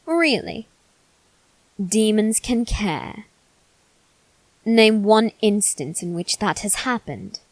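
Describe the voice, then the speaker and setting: A woman's voice: medium pitch, lively intonation, slow pace, pauses often, no room sound, poor audio. A woman voicing a haughty, bitter young noble boy in an ASMR roleplay, speaking formally and with cold condescension. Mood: disdainful. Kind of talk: ASMR character roleplay, scripted monologue.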